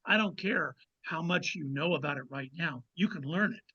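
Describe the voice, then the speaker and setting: A man's voice: fairly high pitch, normal speed, husky. A man, an author guesting on a podcast, chatting casually and reflectively in a hesitant way, on muffled audio.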